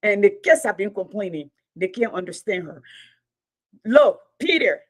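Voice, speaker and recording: A woman's voice: medium pitch, very animated, moderate pace. A woman with a Liberian accent, telling a story in a casual talk show and often checking in with "okay?".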